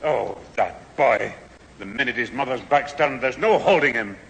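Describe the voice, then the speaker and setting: A man's voice: medium pitch, animated, moderate pace, creaky, fairly bright tone, muffled recording. A man playing an exasperated, scolding old Scottish grandfather in a radio sitcom. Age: older. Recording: hissy old radio recording, slight hall.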